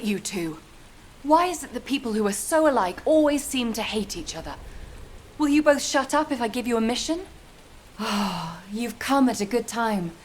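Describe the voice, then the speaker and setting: An actress voicing a weary but firm squad commander in a video game, authoritative and wry. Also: female voice, on the high side, a little mellow, a little hiss or hum, lightly acted. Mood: exasperated.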